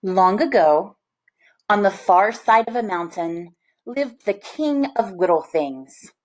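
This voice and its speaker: Lady reading a kids book out loud, slow n deliberate, medium pitch, expressive storyteller. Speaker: storybook reader. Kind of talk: read-aloud storytelling for children.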